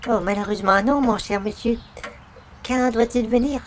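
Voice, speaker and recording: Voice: mid-range voice, normal speed, slight background noise, natural voice. A performer playing an overly courteous, naive visitor in a radio comedy, speaking in a formal, flowery, pompous way. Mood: courteous, puzzled. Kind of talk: 1940s-style radio comedy acting.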